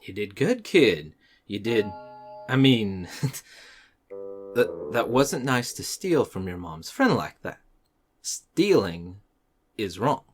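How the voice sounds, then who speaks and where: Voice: mid-range voice, sing-song, slow, long pauses, soft background music, exaggerated voice. An actor voicing a suave, roguish pony father with a courteous, sly manner. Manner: smooth-talking, courteous, sly. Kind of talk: animated fan audio drama.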